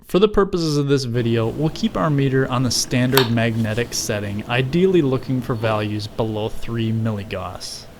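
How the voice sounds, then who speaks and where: A middle-aged man narrating a scripted explainer in measured, formal wording with dry, wry asides. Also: medium pitch, a little mellow, a little hiss or hum. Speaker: video creator and narrator.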